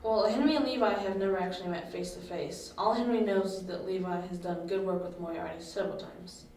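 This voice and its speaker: A woman playing a calm, patient young confidante who explains things in an amateur audio drama. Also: lowish voice, fairly quick, close to an everyday voice. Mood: explaining. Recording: distant echoey room, faint noise.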